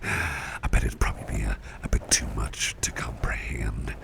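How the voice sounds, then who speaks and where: A man playing a gentle, doting businessman boss in an ASMR roleplay, speaking in a soft half-whisper with a tender, reassuring manner. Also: a bass voice, exaggerated voice. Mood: soothing, tender. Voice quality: soft, breathy half-whisper with gravelly fry. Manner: tender, reassuring, unhurried, hesitant murmuring. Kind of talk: ASMR roleplay, personal attention. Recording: clear background noise with ambience.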